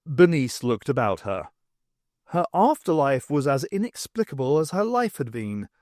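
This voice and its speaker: Man, audiobook narrator, expressive storytelling, animated mid-pitched voice, dry close recording. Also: moderate pace, no room sound. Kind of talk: expressive scripted fiction narration.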